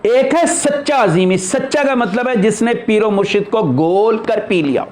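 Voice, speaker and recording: A man's voice: sits high, animated, moderate pace. A man speaking as a spiritual teacher in an informal religious discourse, explaining emphatically and posing rhetorical questions.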